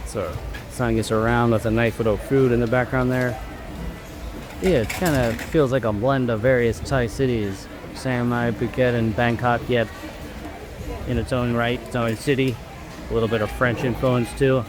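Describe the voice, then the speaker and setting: A man chatting casually while filming a travel vlog outdoors in a city, thinking aloud in a relaxed way. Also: medium pitch, moderate pace, over music. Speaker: travel vlogger. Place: outdoors in a busy city street. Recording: street noise behind. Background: traffic and city ambience.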